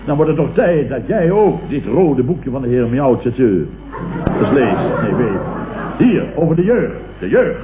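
Blustering, self-important Dutch boss, very lively intonation, vintage radio comedy, tinny sound. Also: male voice, mid-range voice, measured pace. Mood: lecturing. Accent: Dutch, from the Netherlands. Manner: pompous, indignant, declamatory, with repeated sputtering interjections. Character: blustering, pompous middle-aged businessman, opportunistically turned leftist. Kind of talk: 1960s-70s radio comedy acting. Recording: tinny, echoey, hissy old radio recording.

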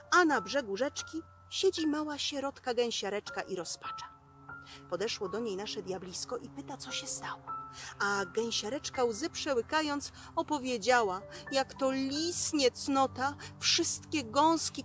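Female storyteller, 30s, slightly high voice, unhurried expressive fairy-tale delivery over clear background music. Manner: theatrical, folksy. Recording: music behind the voice.

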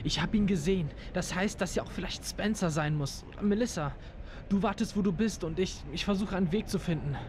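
A man's voice: high-pitched, brisk, close to an everyday voice. A man voicing a young male student exploring a haunted town in an amateur audio drama, talking eagerly and urgently. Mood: hushed. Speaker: voice actor in a fan audio drama. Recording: ambient noise bed behind voice.

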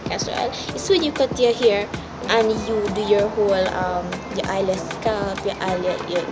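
A woman's voice: mid-range voice, fairly even intonation, normal speed, loud music behind the voice. A young woman with a Jamaican accent answers viewer questions in a blunt, chatty way, slipping into patois, filmed on a phone at arm's length. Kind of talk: casual Q&A chat. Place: indoors against a plain wall. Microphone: camera or phone at arm's length.

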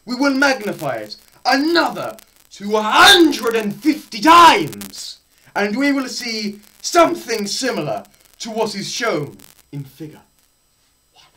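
A man's voice: high voice, very animated, slight background noise, rough recording. Theatrical young man lecturing with wild swings. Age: young adult. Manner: theatrical, swinging between shouts and hushed murmurs; deliberate pauses and repetition for effect. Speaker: presenter. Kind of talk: dramatised science lecture reading. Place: small bare indoor room.

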